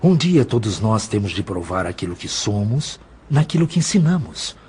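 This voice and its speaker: A wise, compassionate mentor from a Brazilian audio drama. He instructs patiently in a fairly low voice with broad, expressive intonation, over faint noise. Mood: patient, admonishing. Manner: measured, didactic, expressive. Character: calm, compassionate, wise spirit mentor and benefactor.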